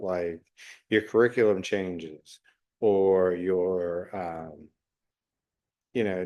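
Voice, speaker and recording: A middle-aged man talking unscripted as a solo podcast host, reflective and halting, often pausing mid-phrase. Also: sits low, darkish tone. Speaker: podcast host, special education teacher.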